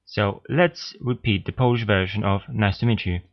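A man co-hosting a scripted beginner language lesson podcast, steady and explanatory as he prompts listeners, on a slightly dull lo-fi recording. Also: on the low side, moderate pace. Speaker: language teacher, podcast co-host.

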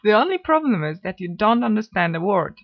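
A woman co-hosting a scripted beginner language lesson podcast, speaking in a clear, measured, instructive way on a slightly dull lo-fi recording. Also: medium pitch, sing-song. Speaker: language teacher, podcast co-host.

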